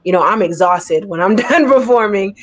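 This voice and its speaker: A woman's voice: mid-range voice, normal speed. Emphatic woman poet, lots of "right?". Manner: energetic, emphatic, keeps asking "right?". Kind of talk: panel discussion.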